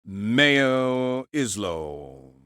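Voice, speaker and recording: A woman acts out a bitter older nobleman in a fantasy audiobook. He is stern and aristocratic, speaking slowly in a low voice with pointed, lively inflection. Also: no room sound, studio quality, heavily acted. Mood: curt. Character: stern, bitter older male lord magus.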